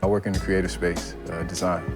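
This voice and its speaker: A man's voice: sits low, fast talker, over music. A man in his thirties from Brooklyn, a dating show contestant talking casually and a little nervously. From Brooklyn, New York. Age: 30s. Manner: relaxed, slightly nervous. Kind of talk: casual chat.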